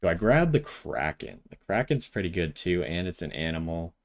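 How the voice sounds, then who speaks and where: A man's voice: low-pitched, sing-song, normal speed. A man giving casual gameplay commentary as the host of a gaming video, thinking aloud as he decides.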